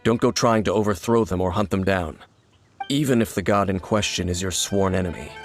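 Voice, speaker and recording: An actor playing an aloof, guarded wandering swordsman in a video game, speaking in a grave, measured, terse manner. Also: low-pitched, natural voice. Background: game music.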